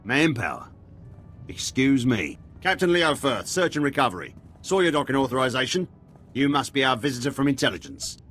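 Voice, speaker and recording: Man playing clipped military captain, defensive n loyal, mid pitch that moves a lot, game scene. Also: animated, normal speed, a bit bright, a little hiss or hum, natural voice. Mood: brisk. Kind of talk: dramatic video game voice acting.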